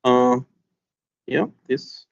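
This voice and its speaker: A middle-aged man lecturing a class, with a halting manner and constant "okay?" checks. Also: mid-range voice, flat delivery, creaky, clean tone. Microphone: video call. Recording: dull lo-fi video call audio.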